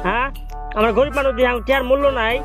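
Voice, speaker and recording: A man's voice: very high-pitched, steady tone, very fast. A man making a prank call, arguing insistently and complaining in a repetitive, pleading way over music. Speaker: prank caller.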